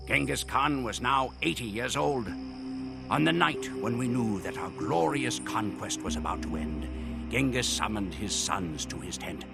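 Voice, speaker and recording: A man narrating a war campaign in solemn, measured scripted narration for game cutscenes. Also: on the low side, gravelly. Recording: game cutscene audio with loud music.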